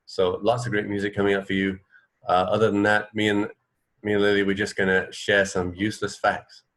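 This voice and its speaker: A man's voice: fairly low pitch, completely flat delivery, fairly quick, fairly bright tone. A man co-hosting a radio show, chatting casually in an unscripted, conversational way. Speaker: radio co-host. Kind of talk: casual chat between music.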